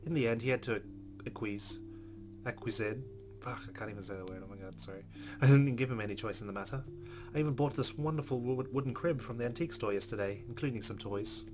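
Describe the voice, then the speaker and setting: A man's voice: mid-range voice, fast. Man reading game narration over guitar music. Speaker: let's play host. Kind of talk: reading game narration aloud with casual commentary.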